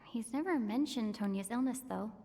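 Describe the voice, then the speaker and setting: A woman playing a calm, considerate young traveler in a video game, polite and gently inquisitive. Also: a little high, normal speed, a little hiss or hum, lightly acted. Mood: curious. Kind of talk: video game character dialogue.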